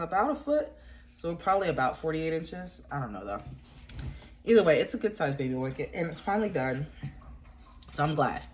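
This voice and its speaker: A woman's voice: very deep voice, a little hiss or hum. A woman with a stuffy, congested voice chatting casually from home to her viewers about crochet, rambling with plenty of fillers and restarts. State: congested from a cold. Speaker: yarn crafting vlogger. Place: at home indoors.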